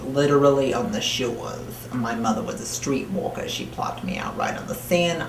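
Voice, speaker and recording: A woman playing a crude, brash elderly woman hosting a talk show, rambling in a deadpan, foul-mouthed way with an odd accent. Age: 80s. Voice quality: low-pitched, with an odd accent. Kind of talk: comedic monologue. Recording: roomy with faint hiss.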